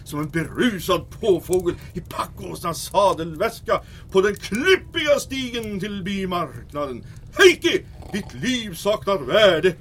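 A man's voice: high voice, animated, fairly bright tone, slight background noise. A man doing a hammy crooning troubadour. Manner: theatrical, half-sung. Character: showy male troubadour, crooning at a friend.

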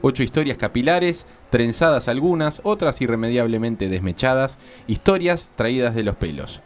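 A man's voice: mid-range voice, lively intonation, normal speed, a little hiss or hum. A man with an Argentine accent co-hosting a radio show, reading theatre listings aloud with some casual banter. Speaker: radio co-host.

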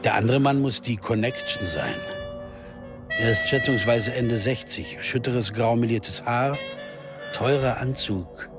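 An actor playing a sober, observant pianist sleuth, telling a radio crime story in an understated, deliberate way. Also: male voice, medium pitch, huge pitch swings, loud music behind the voice. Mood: brooding, observant. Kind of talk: first-person radio crime narration and dialogue.

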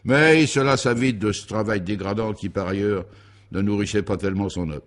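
Low-voiced man narrating with mock solemnity. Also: a little fast. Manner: mock-solemn, grandiloquent, emphatic repetition. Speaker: narrator of a comic radio serial. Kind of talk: scripted dramatic narration of an absurd comic story.

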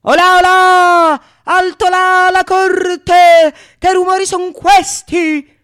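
A woman's voice: extremely high pitch, flat delivery, fairly bright tone, voice pushed up, exaggerated voice. A woman playing a pompous male captain of the watch in a theatrical Renaissance comedy, officious and commanding. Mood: barking. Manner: officious, commanding, drawn-out calls.

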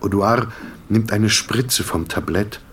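Lowish-voiced man narrating a literary drama. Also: slow pace, a bit bright, recorded from a distance, slight background noise.

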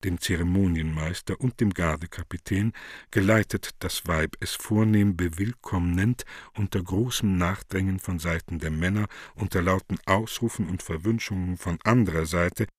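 A man's voice: deep voice, moderate pace, dry recording, studio quality. A man narrating the stage directions of a radio play from a script.

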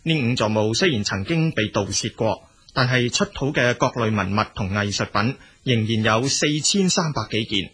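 A man with a Cantonese accent reading scripted documentary narration in a measured, explanatory storytelling manner. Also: mid-range voice, animated, a bit bright, slightly rough. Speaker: narrator of a history and culture programme.

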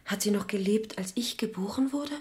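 A medium-pitched young woman's voice from an actress, a searching daughter in a radio play. Her delivery is probing and reproachful as she questions her mother. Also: moderate pace, darkish tone, close to an everyday voice. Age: young adult. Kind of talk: dramatic radio play dialogue.

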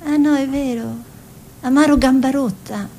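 An actress playing an impulsive, gossipy, tenderly scolding artist wife in an Italian radio drama, with a theatrical delivery. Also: female voice, sits high, normal speed. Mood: gossipy, giddy. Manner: theatrical, shifting between exclamations and soft musing.

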